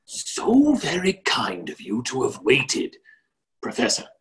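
A man's voice: medium pitch, huge pitch swings, slow, fairly bright tone, full character voice. A middle-aged man playing a cheerful, scatterbrained duke in an online play reading, chatty and forcedly jovial. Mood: genial. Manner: bumbling, chatty, repeats himself. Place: office or lobby, video call.

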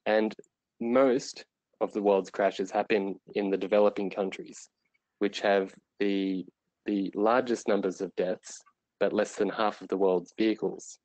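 A man presenting a webinar, explaining his subject in an earnest, measured way over a remote call microphone. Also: lowish voice, level tone, a little mellow, no echo, poor audio.

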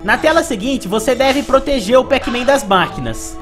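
Casting: young man, high voice, game review host narrating over soft music. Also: lively intonation, normal speed. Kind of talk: scripted gameplay narration.

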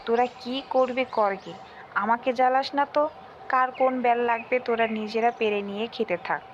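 An exasperated village mother from a cartoon folk tale, played by a woman. She speaks in a high, weary, scolding voice. The sound is dull and clearly noisy. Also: fairly even intonation, fairly quick, close to an everyday voice.

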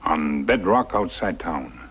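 An actor playing a loyal, stoic companion to a western hero, speaking terse broken English in a grave manner. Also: male voice, low voice, expressive, slow pace, husky, full character voice. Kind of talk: 1950s television western acting. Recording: muffled, noisy 1950s film soundtrack.

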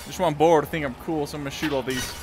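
A man's voice: on the high side, fairly even intonation, racing. A man giving playful, casual commentary as he plays a video game. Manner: playful, muttering reactions and exclamations. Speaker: gaming video host. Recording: game music behind.

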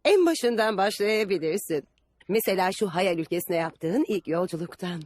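A woman's voice: mid-range voice, expressive, normal speed, a little mellow, no room sound, clean studio sound, lightly acted. A woman playing a curious, willful duchess in a dubbed cartoon, coaxing and imperious. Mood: coaxing, composed. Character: curious, willful duchess eager for travellers' tales.

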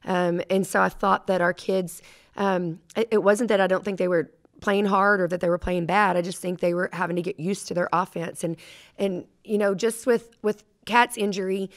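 A middle-aged woman coach answering press questions at a table mic, measured and full of ums and restarts. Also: medium pitch, fairly even intonation. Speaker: head basketball coach. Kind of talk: post-game press conference answers. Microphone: desk mic on a stand.

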